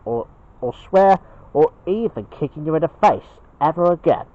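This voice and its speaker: A man voicing a young male bear son in a homemade cartoon, speaking in a halting, earnest way with repeated words. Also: on the high side, expressive, phone-quality sound. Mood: solemn promising. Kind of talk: homemade cartoon puppet-style dialogue.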